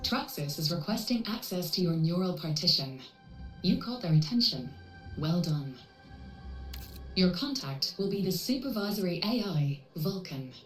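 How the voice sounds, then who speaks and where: A woman playing a composed female AI handler in a video game, speaking in a measured, formal and clinical way. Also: lowish voice, animated, reverberant, far from the mic, faint music behind, lightly acted. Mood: dry, formal. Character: composed female AI handler briefing mercenary runners. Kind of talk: scripted video game cutscene dialogue.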